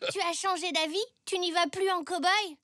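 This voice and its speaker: Eager, bright high-pitched little zebra boy. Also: fairly even intonation, moderate pace. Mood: cheerful, excited. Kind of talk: French children's cartoon dubbing. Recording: music behind.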